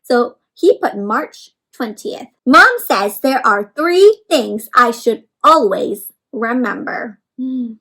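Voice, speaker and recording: A woman's voice: a little high, expressive, takes it slowly, hardly any pauses, sharp tone, poor audio. A woman hosting an interactive read-aloud for children, speaking expressively and instructively and often putting questions to her young listeners.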